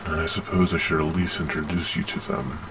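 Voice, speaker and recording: A man's voice: a bass voice, flat delivery, fairly quick, background noise. A man playing a weary, matter-of-fact monster agent with a businesslike manner in an ASMR roleplay. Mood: businesslike, conceding.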